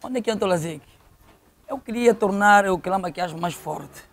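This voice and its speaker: A man's voice: high-pitched, normal speed. A middle-aged man with an Angolan accent telling stories conversationally as an interview guest, repeating phrases for emphasis. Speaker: interview guest, local football official.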